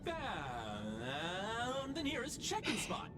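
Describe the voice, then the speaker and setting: Cheery robot guide toy for a game, super slow n formal, pitch all over, music behind. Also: female voice, huge pitch swings, full character voice. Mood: cheerful, polite. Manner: formal, measured, mechanical customer-service phrasing. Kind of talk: scripted game character dialogue.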